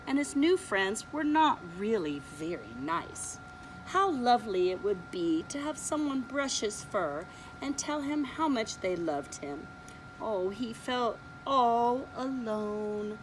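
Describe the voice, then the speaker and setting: A woman reading a picture book to small children, taking her time over each line. Her high voice rises and falls a lot as she acts out the story, with a faint hiss underneath. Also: low-quality recording. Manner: slow, expressive read-aloud, showing pictures. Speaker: preschool teacher.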